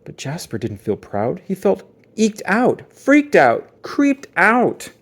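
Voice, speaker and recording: A man narrating a children's book aloud as dramatic storytelling, with theatrical emphasis and suspenseful pauses. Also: sits high, wildly swooping pitch.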